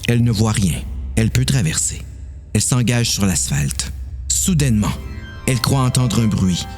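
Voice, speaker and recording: A man's voice: on the low side, animated, moderate pace, a little mellow, soft background music. A man with a Quebec French accent narrating a dramatised horror story.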